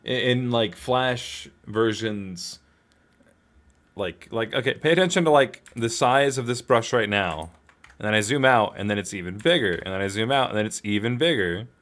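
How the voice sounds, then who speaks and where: A man's voice: on the low side, lively intonation, normal speed, a bit bright. A man casually talking through a task step by step, in loose, piecemeal sentences. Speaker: animator streaming a work session. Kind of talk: casual explanation while working.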